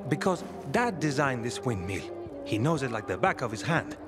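An actor playing a loyal, protective grown son in a video game. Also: male voice, medium pitch, sing-song, moderate pace, music in the background, natural voice. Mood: earnest. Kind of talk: video game character acting.

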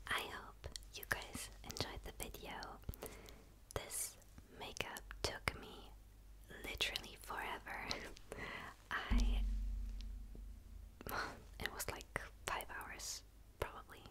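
A woman's voice: slow pace, pauses often, poor audio. Casually whispering woman. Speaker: ASMR video creator. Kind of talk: casual whispered address to viewers.

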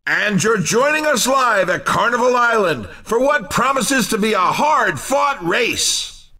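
An actor playing an excitable, upbeat male race commentator in a video game, with showy, hyped announcer delivery. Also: sits high, unhurried, far from the mic, full character voice. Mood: excited, hyped.

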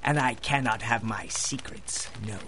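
A man's voice: medium pitch, unhurried, sharp tone, slight background noise. A man playing a vengeful, menacing supervillain with a theatrical, taunting manner in a radio drama. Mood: cold, vengeful. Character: vengeful, menacing male supervillain with mind powers. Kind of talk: 1930s radio acting.

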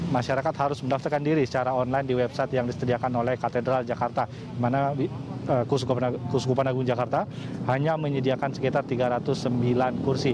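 A young man giving a formal, somewhat halting live news report outdoors through a face mask. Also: medium pitch. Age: young adult. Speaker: field reporter. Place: outdoors in front of a large church. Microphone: handheld or chest-held reporter's microphone, through a face mask. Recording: noisy city street outdoors.

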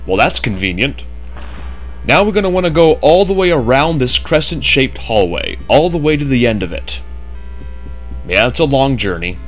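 A man's voice: mid-range voice, very animated, normal speed, faint music behind. A man narrating a game walkthrough in a chatty way with jokey asides, just woken up. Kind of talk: casual gameplay commentary.

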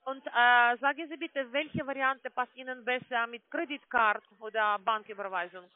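A very high-voiced man comes through a tinny phone line. He has a foreign accent and works through a sales pitch haltingly, often asking the other person to repeat. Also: no echo. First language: not German. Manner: halting, often asks for repetition. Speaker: call-centre sales agent.

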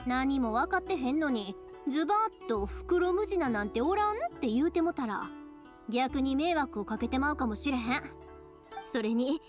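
A woman voicing a cheerful, big-sisterly young fireworks maker in an anime game, with a Kansai accent and a lively, reassuring, colloquial way of talking. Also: high-pitched. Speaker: video game character voice actor. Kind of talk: anime-style game dialogue.

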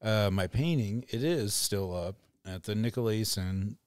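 Lower-voiced man, halting podcast talk. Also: darkish tone, smooth, no echo, studio quality. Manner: loose, halting, swears a lot. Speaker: podcast host. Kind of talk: casual chat.

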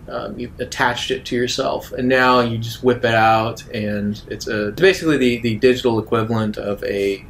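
A man narrating a tabletop game as its game master. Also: creaky. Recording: noisy, rumbling background.

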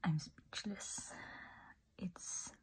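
A woman whispering softly through a makeup demo, gushing with amazement over each product. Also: drawn-out words, rough recording. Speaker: beauty ASMR creator.

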